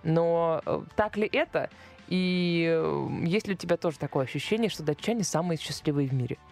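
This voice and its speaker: A woman's voice: fairly low pitch, brisk, gravelly, darkish tone, soft background music. Chatty woman hosting a radio show. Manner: chatty, hesitant, with frequent fillers. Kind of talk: conversational radio interview.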